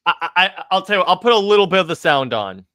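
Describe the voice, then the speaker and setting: Bright, clear-voiced man hosting casually. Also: high-pitched, big pitch swings. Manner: halting, stuttering over words. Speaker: podcast host. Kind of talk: casual livestream chat.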